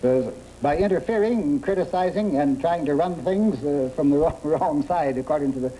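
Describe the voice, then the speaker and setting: An older man, a marriage counselor guest on a quiz show, explaining in a measured, lecturing way. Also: a little high, mellow, low-quality recording. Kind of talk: interview answers. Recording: 1950s broadcast recording with faint hiss.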